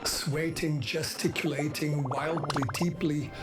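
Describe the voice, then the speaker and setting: A woman's voice: sits low, steady tone, slow pace, very noisy. A woman narrating a radio play in clipped, portentous, scripted announcements. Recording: loud music behind the voice.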